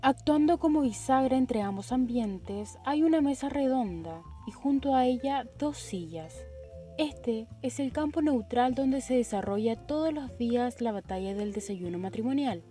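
A young Chilean woman narrating stage directions for a student radio play in a measured, deliberate way. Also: a little high, animated, dark tone, faint music behind. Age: young adult.

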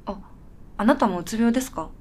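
A woman's voice: on the high side, animated, fairly quick. Woman acting a polite but blunt tired woman. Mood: puzzled, timid. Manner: polite, dry, matter-of-fact. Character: polite, puzzled, tired young woman with quietly blunt streak. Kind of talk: Japanese radio drama dialogue.

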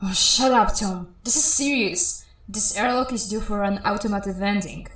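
A woman's voice: medium pitch, moderate pace, fairly bright tone, reverberant, natural voice, rough recording. A woman playing an urgent, exasperated spaceship systems technician in a farcical audio comedy. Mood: anxious, stern.

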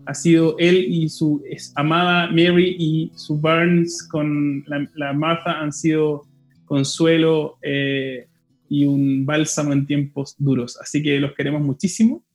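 A man's voice: on the high side, flat delivery, slow pace, faint music behind. A man hosting a podcast in casual conversation, playful and affectionate. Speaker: podcast host.

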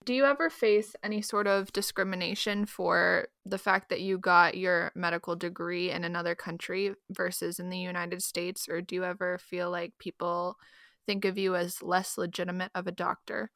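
A woman interviewing a guest, asking measured, deliberate questions. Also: on the low side, steady tone, gravelly, a bit bright, no echo, clean studio sound.

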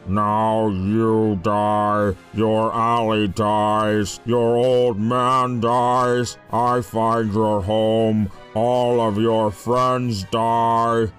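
An actor playing a menacing ancient villain in a dramatized trailer, drawing out each threat deliberately. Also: male voice, lowish voice, little pitch movement, faint music behind. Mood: cold menace. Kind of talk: dramatized book trailer acting.

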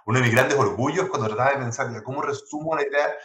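Man, Chilean accent, quick restarts, roomy sound, interview guest. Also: medium pitch. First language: not Spanish. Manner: quick, animated storytelling with many restarts. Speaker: guest, tech blog founder. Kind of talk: conversational interview.

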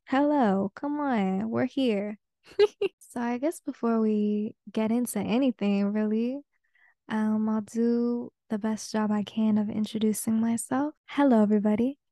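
A woman's voice: on the high side, dark tone, no room sound, clean studio sound. A young woman from Peru, a Spanish native speaker, hosting a solo podcast and talking in an earnest, open, casual way with some slang. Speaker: podcast host. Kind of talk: reflective solo podcast talk.

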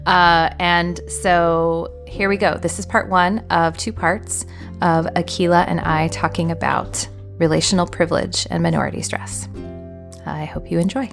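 A woman hosting a podcast, introducing and interviewing a guest, halting often with fillers. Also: lowish voice, little pitch movement, gravelly, faint music behind. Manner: warm, halting with many fillers. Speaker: podcast host.

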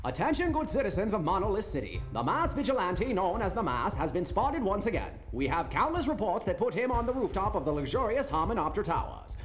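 A woman's voice: medium pitch, lightly acted. A woman playing a solemn, dramatic television news anchor in a comic mock broadcast.

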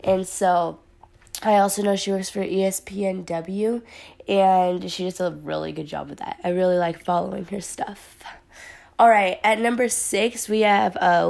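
A young woman hosting her podcast in casual chat, her voice a little raspy from a sore throat, upbeat and chatty with lots of fillers. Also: mid-range voice, a little mellow. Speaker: podcast host. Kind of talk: casual solo podcast talk.

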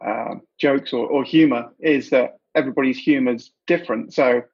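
Casting: British man as interview host, husky voice, halting and friendly, dull lo-fi call sound. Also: medium pitch, a little mellow. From United Kingdom. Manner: halting, restarts often, friendly. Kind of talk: casual interview questions.

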